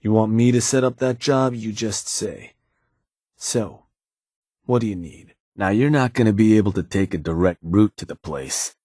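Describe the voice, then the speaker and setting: An actor playing a shrewd, calm male raider lieutenant in a game, speaking in a dry, calculating drawl. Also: fairly low pitch, moderate pace, dry recording, close to an everyday voice. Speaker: game character. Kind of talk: game voice lines.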